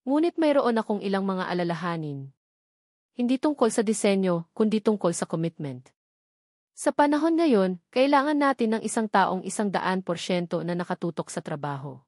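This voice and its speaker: A woman's voice: medium pitch, lively intonation, moderate pace, a little mellow, no echo, natural voice. A venomous female office rival from an audio drama, as a woman narrator plays her. She speaks with cutting, poisoned sweetness and barely hidden hatred, in a close, dry recording. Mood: sweetly menacing. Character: venomous female corporate rival, sweet-voiced schemer turning openly hateful.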